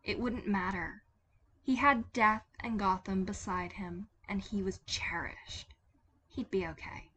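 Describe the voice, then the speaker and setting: A woman's voice: mid-range voice, fairly even intonation, measured pace, fairly bright tone, a little hiss or hum. A woman reading a story as a dramatic scripted narration. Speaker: podfic narrator.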